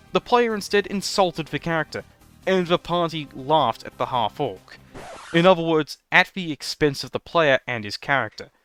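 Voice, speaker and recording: Bright-voiced man presenting formal scripted commentary. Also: mid-range voice. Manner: formal, wordy, measured phrasing. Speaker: host of a tabletop roleplaying commentary show. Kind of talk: scripted video essay commentary. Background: background music.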